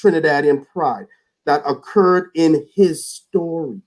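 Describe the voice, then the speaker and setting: A man's voice: a little high, slow pace. A man speaking as a guest in a reflective conversation over a video call, earnest, often repeating words as he starts a thought.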